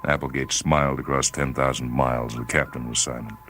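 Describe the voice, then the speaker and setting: Very deep-voiced male story narrator. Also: flat delivery, pushed and bright. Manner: grave, measured, theatrical emphasis. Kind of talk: dramatic scripted short-story narration. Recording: faint noise and ambient sound bed. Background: faint ambient sound.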